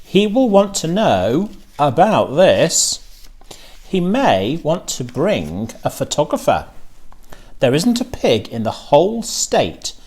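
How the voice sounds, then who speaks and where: Man acting a proud, commanding farmer, animated pitch. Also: takes it slowly, breathy edge, heavily acted. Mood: joyful admiration. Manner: emphatic, declaratory, giving orders. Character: proud, commanding male farmer, awed by his pig.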